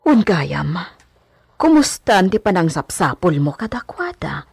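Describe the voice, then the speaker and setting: A radio drama housemaid played by a woman, frank and fussy, with a deep female voice that is husky at the edges. She talks bluntly and gossips like a bossy big sister, recorded close and dry. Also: sing-song, unhurried, darkish tone, no echo, full character voice. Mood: concerned, scolding. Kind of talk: Ilocano radio drama acting.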